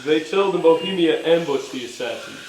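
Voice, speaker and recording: A man reads stage directions at a live staged reading. His voice sits a little high. The room is echoey, with faint noise behind him. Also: expressive, a little fast, a little mellow. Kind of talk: scripted narration for a staged reading.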